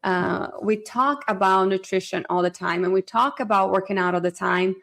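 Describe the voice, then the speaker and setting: Podcast host woman with a gravelly voice. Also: mid-range voice, moderate pace, fairly bright tone. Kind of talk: interview questions and comments.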